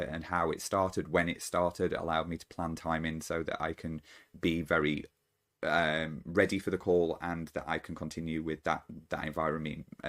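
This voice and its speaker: A man hosting his own channel, giving an unscripted solo talk to camera in a thoughtful, halting way, often restarting his sentences. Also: low-pitched. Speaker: channel host.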